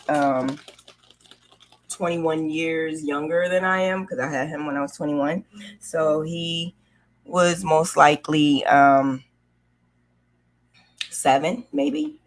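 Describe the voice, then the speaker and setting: Low-voiced New woman telling her story. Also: slow pace, pauses often, slight background noise. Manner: candid, conversational, acts out remembered dialogue. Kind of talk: casual personal storytelling.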